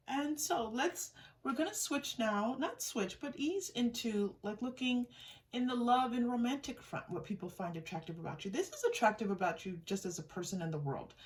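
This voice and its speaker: A woman's voice: mid-range voice, darkish tone. Woman tarot reader, distant mic. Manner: encouraging, conversational, addresses the listener directly. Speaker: tarot reader hosting a reading. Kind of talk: casual improvised card reading to viewers. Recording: distant mic with faint noise.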